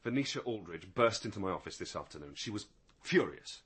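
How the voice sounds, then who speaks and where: Volatile barrister. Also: male voice, sits low, very animated, brisk, a bit bright, slightly rough, full character voice. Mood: low, worried. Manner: agitated, volatile. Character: rattled, hot-tempered male barrister husband. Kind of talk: radio drama acting.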